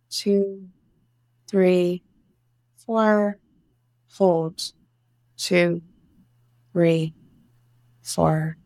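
A woman leading a guided meditation, giving soothing instructions in short phrases with long pauses between them. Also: on the low side, a little mellow, no room sound. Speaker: meditation guide, breathwork teacher.

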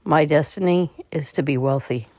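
A meditation guide speaking in a calm, slightly halting way, leading a slow guided relaxation. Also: medium pitch, no echo. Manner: calm, halting delivery with stumbles. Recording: dull, muffled lo-fi recording.

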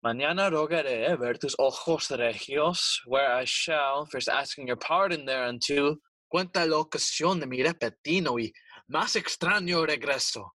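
Husky, scheming king. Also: fairly high pitch, sharp tone, no room sound. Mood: smooth, assured. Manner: theatrical, persuasive, deliberate. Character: scheming, smooth-talking king plotting murder. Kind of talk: bilingual Shakespearean audio play acting.

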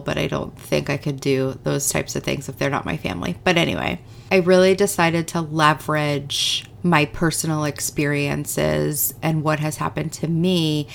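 A woman talks through her solo podcast in a chatty, open, self-deprecating way. Her voice sits low and carries a gravelly, fried edge. A faint hiss sits under the recording. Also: a bit bright. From United States. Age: 40s. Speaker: podcast host and goal coach. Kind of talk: casual solo podcast talk.

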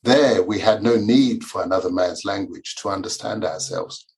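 A man, a Yoruba speaker, reading his own memoir aloud in a measured, formal way. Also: fairly low pitch, gravelly, darkish tone. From Nigeria. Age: 50s. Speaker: author and lawyer.

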